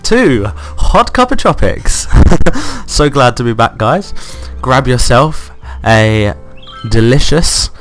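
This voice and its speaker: Croaky, upbeat man narrating gameplay. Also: mid-range voice, sing-song, slow pace, a bit bright, faint music behind. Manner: upbeat, rambling, thinks aloud with fillers. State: croaky from illness. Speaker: let's play host. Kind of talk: casual gameplay commentary.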